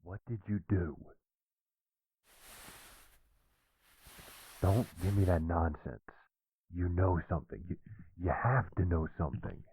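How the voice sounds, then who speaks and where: A man playing a protective mobster boyfriend in an ASMR roleplay audio drama, tender but dangerous, with a gravelly, husky voice. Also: sits low, moderate pace, dark tone, dry recording, rough recording. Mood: cold, menacing.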